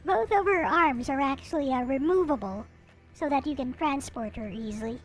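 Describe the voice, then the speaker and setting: A woman with a clearly high-pitched voice talks through a casual show-and-tell. Her delivery is playful and informal, like a streamer presenting a collection. Music plays in the background as she speaks. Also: moderate pace, poor audio. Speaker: streamer showing collectible anime figures.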